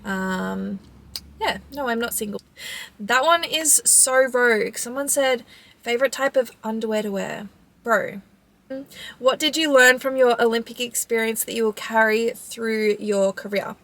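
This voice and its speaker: A woman's voice: on the high side, measured pace, fairly bright tone. A woman in her mid twenties with an Australian accent, chatting casually in a vlog Q&A, informal, with frequent "like" and trailing phrases. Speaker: vlogger and sprinter answering audience questions.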